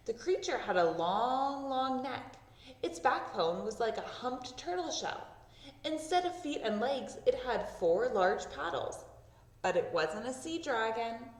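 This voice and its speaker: Animated woman reading a storybook, echoey room. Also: fairly high pitch, a little slow. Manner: expressive, acting out sound words. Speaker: storybook reader. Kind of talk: children's read-aloud narration. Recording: roomy echo, distant microphone.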